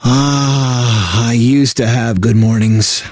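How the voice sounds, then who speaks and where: A man's voice: mid-range voice, steady tone, takes it slowly, a little mellow, no echo. A man playing a bitter, burnt-out corporate radio DJ in a video game, mixing announcer patter with sarcastic, exasperated asides. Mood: weary, sardonic. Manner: exaggerated announcer delivery with sardonic, exasperated asides.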